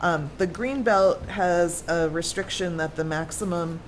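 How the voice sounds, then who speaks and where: A woman's voice: lowish voice, measured pace. An American woman speaking as a board member at a town meeting, setting out official business. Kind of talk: reading a resolution and explaining it informally. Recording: noisy public meeting room ambience.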